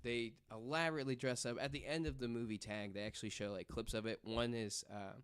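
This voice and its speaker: A man on a podcast panel telling anecdotes casually, in a jokey, animated storytelling way. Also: mid-range voice, quick pace, smooth.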